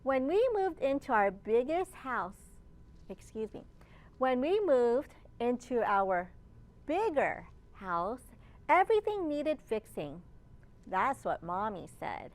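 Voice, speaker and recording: Female children's storytime reader, high voice, unhurried and encouraging. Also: big pitch swings. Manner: slow, encouraging, asks listeners simple questions. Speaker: children's librarian leading storytime. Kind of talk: read-aloud storytime for young children.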